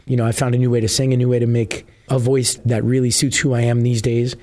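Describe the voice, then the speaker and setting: A middle-aged man from New Jersey, a musician guest with a husky voice, chatting casually and rambling with many fillers. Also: medium pitch, little pitch movement, fast, a little mellow. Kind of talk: casual interview chat.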